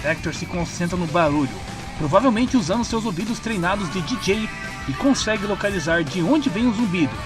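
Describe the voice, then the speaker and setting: Male narrator, Brazilian accent, high register, scripted story recap, delivered over a prominent music bed. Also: lively intonation, a little fast. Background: clear background music.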